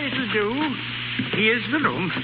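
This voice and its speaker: High-voiced, genial old innkeeper. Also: male voice, animated, slow pace. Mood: welcoming. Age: older. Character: genial older country innkeeper, folksy and obliging. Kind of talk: 1930s radio drama acting. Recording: muffled, hissy 1930s radio broadcast.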